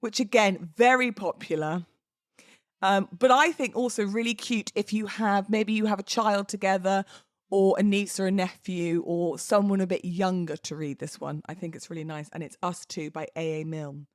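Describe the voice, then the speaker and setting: A woman's voice: mid-range voice, fairly even intonation, normal speed, dry recording, studio quality. A woman hosting a podcast solo, talking informally and conversationally in a self-deprecating way. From United Kingdom. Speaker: podcast host. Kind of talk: chatty solo podcast with readings aloud.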